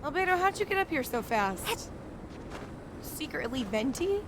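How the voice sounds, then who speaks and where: High-voiced playful woman game streamer. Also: sing-song, moderate pace, background noise. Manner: playful, chatty, reacting aloud. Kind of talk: casual live stream gameplay commentary. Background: game music.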